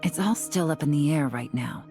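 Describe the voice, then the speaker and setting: A self-assured woman who works in the shadows. Her voice is low and cool, her delivery matter-of-fact, with music under this game dialogue. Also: moderate pace, dark tone. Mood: cool, confident. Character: confident, businesslike female covert tracker. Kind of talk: video game voice acting.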